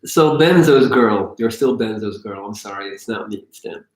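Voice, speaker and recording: A man's voice: fairly low pitch, moderate pace, echoey room, rough recording. A man hosting a live question-and-answer stream, joking and teasing while he reads out viewer questions. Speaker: YouTube host.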